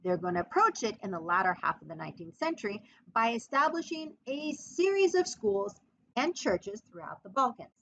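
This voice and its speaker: A woman giving a history podcast lecture in an emphatic, expressive storytelling manner. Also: on the high side, moderate pace, vocal fry. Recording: muffled lo-fi recording.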